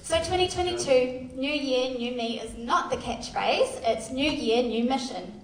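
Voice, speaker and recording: A woman's voice: sits high, measured pace. A woman, giving a candid, self-deprecating church testimony full of fillers. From Australia. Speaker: youth worker sharing testimony. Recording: echoey hall, voice far from mic.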